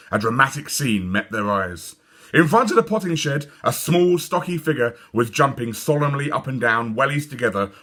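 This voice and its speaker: A British man reads a children's story aloud. His voice is fairly low but bright, with very lively intonation and deliberate, expressive phrasing. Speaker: story reader.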